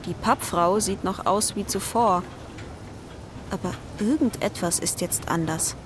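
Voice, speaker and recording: A woman's voice: medium pitch, measured pace, natural voice. A woman narrating a radio drama in a scripted, literary style. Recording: clear urban outdoor ambience noise behind.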